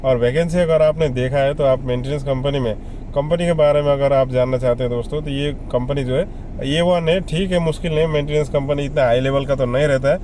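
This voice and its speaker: A man's voice: medium pitch, steady tone, a little fast, mellow, a little hiss or hum. A man giving casual, conversational advice to viewers as a vlogger, often repeating himself and listing examples. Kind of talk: casual explainer addressed to viewers.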